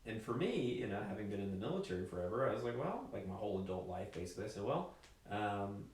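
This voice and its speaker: A man's voice: fairly low pitch, sing-song, fast, darkish tone. A man chatting casually as a podcast guest, telling stories about himself. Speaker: podcast guest, professor and military psychologist. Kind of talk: casual chat, storytelling. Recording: very echoey room, distant mic, faint noise.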